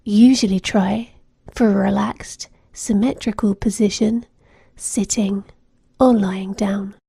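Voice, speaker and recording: A woman narrating a guided meditation in a soothing, gentle manner, giving measured instructions with soft phrase endings. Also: medium pitch, steady tone, vocal fry, slightly rough, no echo, studio quality.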